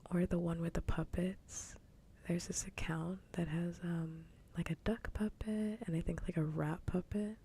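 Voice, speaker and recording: A woman speaks softly and mostly in whispers, in the manner of a casual ASMR livestream. Her voice sits low and is dark and mellow, breaking into creak where it is voiced. She is close to the microphone. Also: fairly even intonation, a little slow. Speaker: livestreamer host. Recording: faint background noise, low rumble.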